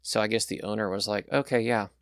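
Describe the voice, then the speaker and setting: Low gravelly young man, rambling chat. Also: fast, fairly bright tone, no room sound, clean studio sound. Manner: rambling, enthusiastic, many fillers. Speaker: podcast guest, pilot. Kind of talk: casual chat.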